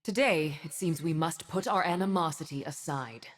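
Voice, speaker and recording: A woman's voice: sits low, moderate pace. A woman playing a proud, righteous winged warrior and judge in a video game, with a solemn, commanding, haughty delivery. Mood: cold disdain. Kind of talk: dramatic video game voice acting.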